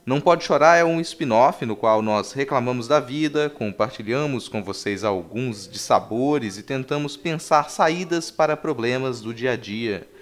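A man's voice: mid-range voice, moderate pace, few pauses. Brazilian guy doing podcast host talk, casual, mid-range voice.

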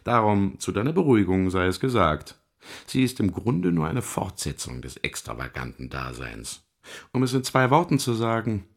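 An actor playing a sardonic, eloquent devil in a literary radio drama, gravelly and theatrical, savouring each word with mockery. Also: male voice, lowish voice. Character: sardonic, eloquent devil lecturing on Hell's torments.

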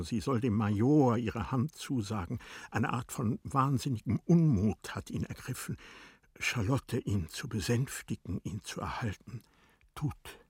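A man narrating literary radio drama from a script, with deliberate, dramatic phrasing. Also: medium pitch, a little mellow, husky, dry recording, studio quality.

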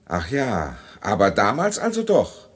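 An actor playing a genteel, fussy, middle-aged general and father in a German radio drama, courtly and husky. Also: fairly low pitch, unhurried, exaggerated voice. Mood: courteous probing. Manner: courtly, animated.

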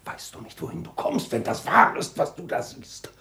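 An actor in a 1960s German radio drama playing a cautious, anxious learned friend, grave and deliberate with a creaky voice and theatrical delivery. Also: male voice, pushed and bright, natural voice. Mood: alarmed. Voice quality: creaky low voice. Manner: grave and deliberate, flaring into agitated outbursts.

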